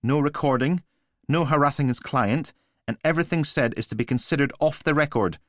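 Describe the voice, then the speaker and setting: A man's voice: medium pitch, fairly quick, dry recording, clean studio sound, voice pushed up, lightly acted. A male narrator voicing a stern woman police sergeant who speaks in clipped, commanding lines.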